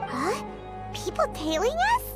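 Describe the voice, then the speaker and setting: A woman voicing a tiny, childlike fairy companion in a video game, chirpy, eager and expressive. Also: extremely high pitch, a little slow, exaggerated voice. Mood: surprised, curious. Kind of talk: video game character acting. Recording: studio voice over loud game music.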